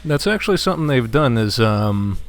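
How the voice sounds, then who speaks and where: A man's voice: mid-range voice, sing-song, a little fast. A man joining a let's play as co-commentator, chatting easily and hesitantly, telling game stories with plenty of fillers. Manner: easygoing, hesitant, storytelling with fillers. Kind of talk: casual gameplay chat.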